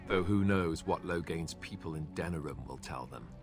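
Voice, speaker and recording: A man's voice: rumbling low, normal speed, over music. An actor playing a wry, sarcastic young male warrior in a fantasy video game. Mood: earnest, worried.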